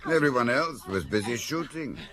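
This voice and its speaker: An actor playing a knowing, matter-of-fact detective's confidant in a radio murder mystery. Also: male voice, mid-range voice, lively intonation, moderate pace, a bit bright, natural voice.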